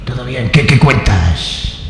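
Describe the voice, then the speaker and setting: A man's voice: mid-range voice, expressive, slow pace, gravelly, a little hiss or hum. A man playing a genial, teasing café owner from Spain with a Spanish accent, in an improvised radio play. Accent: Spain Spanish, put on. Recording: echoey hall with loud music.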